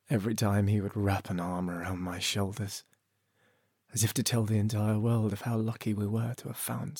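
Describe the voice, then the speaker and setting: An actor playing a proud exiled lord who narrates a fantasy audio drama in a solemn, theatrical way with dramatic pauses. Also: male voice, lowish voice, darkish tone, slightly rough, no room sound, studio quality. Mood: tender, wistful. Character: proud exiled young lord narrating his empire's bitter history.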